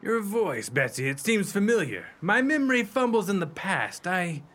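An actor playing a gentle, mysterious pirate who speaks in a formal, poetic way in a radio play. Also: male voice, high-pitched, big pitch swings, moderate pace, exaggerated voice. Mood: curious, tender. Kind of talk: earnest theatrical radio acting.

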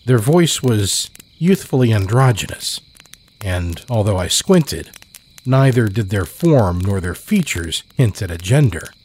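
Slow, low-voiced male horror narrator. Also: darkish tone, slight background noise. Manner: deliberate, suspenseful phrasing. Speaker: storyteller, narrator. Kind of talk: dramatic scripted horror narration. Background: crickets and insect ambience.

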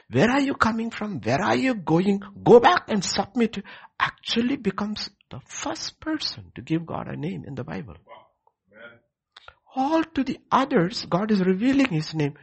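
Husky preacher with wide-swinging pitch. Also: male voice, sing-song, measured pace. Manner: emphatic, repeats key phrases for effect; dramatic swings from hushed to loud. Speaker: preacher leading a prayer service. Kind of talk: sermon.

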